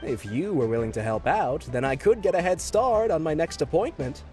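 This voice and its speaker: An actor playing a busy, polite male office clerk in a video game, speaking in a businesslike, slightly hurried way. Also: on the high side, expressive, darkish tone, faint music behind, natural voice.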